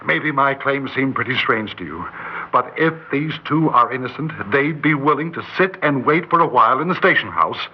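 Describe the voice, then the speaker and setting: A man's voice: mid-range voice, lively intonation, normal speed, a little hiss or hum, close to an everyday voice. A man acting an agitated small-town schoolteacher, urgent and desperate to be believed, in old-time radio drama style. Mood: agitated pleading. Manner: urgent, stammering when flustered. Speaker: narrator and lead character. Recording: muffled old radio recording, music behind.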